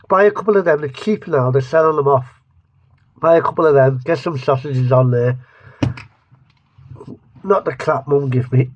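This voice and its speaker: A man's voice: a little high, no echo, dull audio. A man with a lisp giving a rambling, joking taste-test review, often restarting his sentences. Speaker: vlogger reviewing mustards. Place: home studio.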